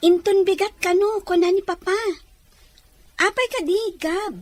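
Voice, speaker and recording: Very high voice, earnest helpful relative, acted out. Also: a little slow, no echo. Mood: curious. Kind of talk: dramatized audio story acting.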